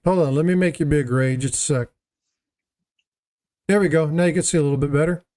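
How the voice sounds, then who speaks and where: A man's voice: on the high side, fairly quick, warm tone. A man hosting a casual livestream chat, rambling and self-deprecating as he talks. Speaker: livestream host.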